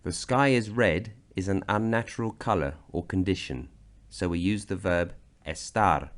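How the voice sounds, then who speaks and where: A man from Britain narrating a slideshow grammar lesson, explaining slowly and deliberately. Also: low-pitched. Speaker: language teacher.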